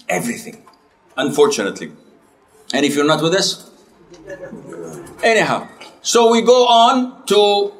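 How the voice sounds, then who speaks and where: Middle-aged male lecturer, a bit high-pitched, talks to the class in a chatty, self-correcting way. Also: a bit bright. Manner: conversational, self-correcting, asks the class questions. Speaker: Islamic lecturer.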